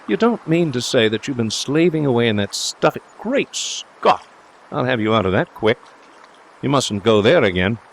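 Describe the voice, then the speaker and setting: Male narrator as a confident young gentleman, breezy, reassuring, affectionate, storybook dialogue, slight background noise. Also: mid-range voice, sing-song, normal speed, gravelly, pitched above the performer's own voice. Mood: agitated. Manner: jaunty, reassuring, chatty. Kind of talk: audiobook character dialogue.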